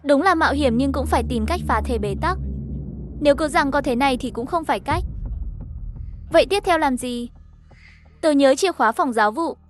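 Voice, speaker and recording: A woman with a clearly high-pitched voice narrates an animated horror tale. She reads scripted lines and the characters' dialogue herself. Music plays behind her voice. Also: normal speed, long pauses, noisy background. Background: background music.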